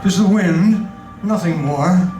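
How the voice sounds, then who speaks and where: A man giving a dramatic poetry recitation in a theatrical, deliberate manner with long pauses. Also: sits high. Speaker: poetry reader. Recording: roomy, distant mic with music. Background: music.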